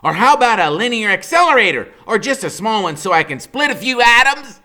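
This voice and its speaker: A man's voice: high voice, big pitch swings, brisk, bright, forceful tone, voice pushed up, exaggerated voice. A man voicing a brainy, smart-mouthed thirteen-year-old boy with a cocky, wisecracking manner. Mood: whiny demanding.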